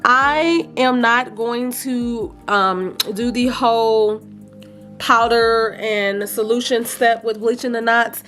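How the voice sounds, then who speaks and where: A woman vlogger chatting casually and playfully to viewers about beauty products, with a slightly raspy, froggy voice and an informal manner. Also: fairly high pitch, steady tone, slow pace, a bit bright, soft background music. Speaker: vlogger reviewing wigs.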